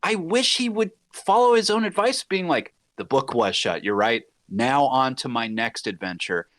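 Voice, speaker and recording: A man's voice: mid-range voice, lively intonation, normal speed, fairly bright tone, no echo. A man bantering casually on a podcast panel, repeating himself for emphasis and acting out imagined quotes as he goes.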